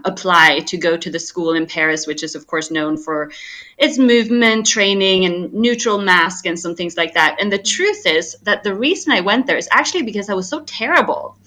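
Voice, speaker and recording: A woman, telling her story as an interview guest, laughing often and poking fun at herself. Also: medium pitch. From Sweden. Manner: laughs often, animated and self-deprecating storytelling. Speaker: guest, arts nonprofit director. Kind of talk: casual interview chat.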